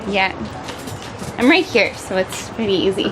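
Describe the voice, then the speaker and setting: A woman's voice: mid-range voice, expressive, a little slow, music in the background. A woman in the audience asking a long question, hesitant and searching for words. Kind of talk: question from the floor. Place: community farm event space. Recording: distant voice, echoey room, loud noise.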